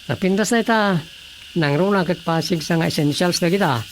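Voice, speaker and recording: A man's voice: sits high, fairly quick, faint music behind, lightly acted. An actor playing a village councilman in a radio drama, muttering to himself as he struggles to write. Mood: grumbling. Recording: cricket and insect night ambience.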